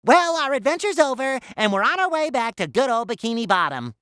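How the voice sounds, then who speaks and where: Squeaky nasal cartoon sponge for a game, super high and bouncy all over the place, childlike n cheery. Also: male voice, very animated, fairly quick, fairly bright tone, clear, resonant tone, heavily acted. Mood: cheerful narrating. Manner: exuberant, exclamatory, playfully earnest. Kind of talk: cartoon video game voice lines.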